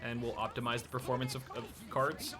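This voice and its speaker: A man's voice: medium pitch, level tone, a little fast. A young American man hosting a casual live stream, chatting loosely with many filler words while giving advice. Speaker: streamer host.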